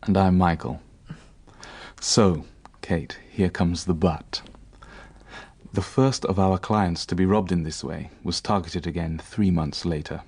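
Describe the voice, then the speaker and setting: An actor playing an assured, businesslike professional man in a radio drama, speaking in a measured, matter-of-fact way. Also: deep voice, gravelly, a little mellow, husky, heavily acted.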